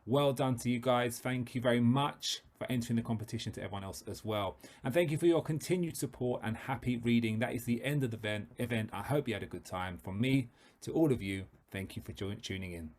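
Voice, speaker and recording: Man, event host, mid pitch, upbeat, grateful, closing remarks. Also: brisk, a little mellow, slight background noise. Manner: upbeat, grateful, thanking everyone.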